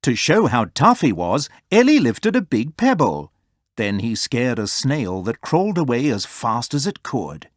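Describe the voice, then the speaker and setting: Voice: medium pitch, very animated, a little slow, no echo, clean studio sound. A storyteller reading a children's story aloud as scripted narration.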